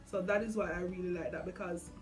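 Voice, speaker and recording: A woman's voice: fairly low pitch, fairly even intonation, quick pace. A young adult woman with a Caribbean accent hosting a casual, candid conversation. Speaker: vlog host. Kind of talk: casual chat about faith. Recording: music underneath the voice. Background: music.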